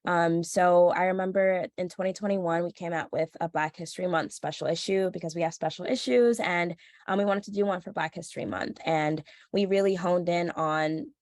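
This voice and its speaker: A woman's voice: fairly low pitch, fairly even intonation, normal speed, a little mellow, no room sound, clean studio sound. A young woman in her twenties, speaking as a reporter on a panel, nasal from a cold, talking earnestly with many fillers. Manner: earnest, many ums and likes. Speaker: panelist, social news reporter. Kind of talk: panel discussion answer.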